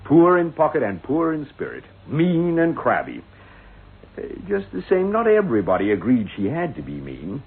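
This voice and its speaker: An actor playing a folksy, evasive village estate agent in a radio drama, chatty and hesitant. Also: male voice, on the high side, wildly swooping pitch, moderate pace, husky, full character voice. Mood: gossipy. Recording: tinny lo-fi old radio.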